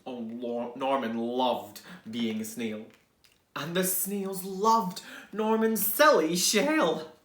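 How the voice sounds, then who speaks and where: Slow, expressive storybook reader, male, echoey room. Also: a little high. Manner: expressive, dramatic pauses and hushed asides. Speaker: teacher reading to pupils. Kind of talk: animated children's storybook reading. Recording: roomy, echoing indoor sound.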